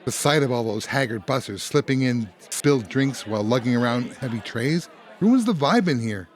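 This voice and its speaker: A man's voice: medium pitch, lively intonation, normal speed, some chatter behind, close to an everyday voice. A man playing a grumpy, matter-of-fact bartender in a comedic audio drama, with a blunt manner. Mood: deadpan explaining.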